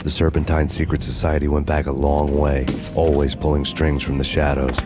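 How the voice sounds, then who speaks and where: World-weary American noir avenger for game narration. Extremely low, monotone, wry, over music. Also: male voice, moderate pace. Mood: grim, brooding. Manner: deadpan, sardonic. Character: vengeful world-weary hard-boiled male detective, bitterly wry.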